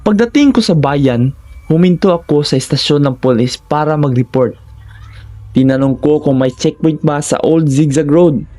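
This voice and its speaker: A Filipino man narrates a scary first-person tale in a soft, mellow voice pitched a little high for a man. He keeps to a measured delivery, setting out short phrases for suspense. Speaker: horror story narrator.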